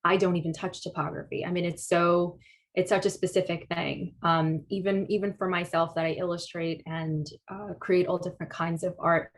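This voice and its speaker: A woman's voice: lowish voice, flat delivery, normal speed, a little hiss or hum. A woman artist answering audience questions at a talk, thoughtful and hesitant, with many fillers and restarts. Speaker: guest speaker, artist.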